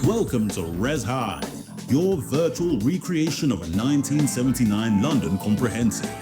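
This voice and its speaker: A man's voice: mid-range voice, sing-song, moderate pace, lightly acted. A man voicing a showy, theatrical announcer for a virtual school game, over music. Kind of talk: video game mission announcements. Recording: music behind the voice.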